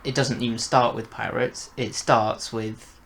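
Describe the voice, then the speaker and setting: A man's voice: mid-range voice, flat delivery, unhurried, vocal fry, fairly bright tone, a little hiss or hum. A man hosting a casual review chat, leading his co-host along with questions and prompts. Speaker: host of an audio drama review show.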